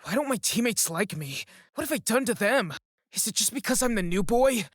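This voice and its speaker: A man's voice: on the high side, expressive, moderate pace, fairly bright tone, husky, no echo, studio quality. A man voicing an emotional, self-doubting sixteen-year-old boy footballer in a dramatic story. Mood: upset, angry.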